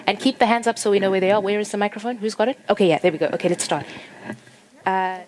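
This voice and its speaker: A woman moderating an audience question round at a conference, brisk and directive, keeping everyone short. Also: mid-range voice, a little mellow. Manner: brisk, directive, hurrying people along. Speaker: moderator of a panel discussion. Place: conference stage.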